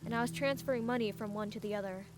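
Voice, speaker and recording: A woman's voice: a little high, racing, background noise, natural voice. A woman playing an emotional, impulsive young female fighter in a fantasy audiobook. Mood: sad. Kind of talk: dramatised audiobook, narration and acting.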